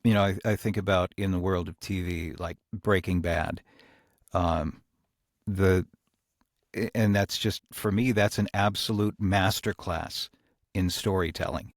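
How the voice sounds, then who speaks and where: A low-pitched man talks through his storytelling craft in a podcast conversation, taking his time. He is thoughtful and halting as he hunts for the right words, and the recording is close and dry. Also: steady tone, no echo. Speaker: audio drama writer and creator. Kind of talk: reflective podcast discussion.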